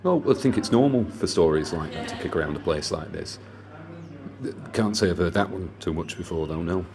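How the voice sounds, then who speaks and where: A man's voice: sits low, normal speed, music in the background. An actor voicing a matter-of-fact local man. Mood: plain. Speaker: interviewed local.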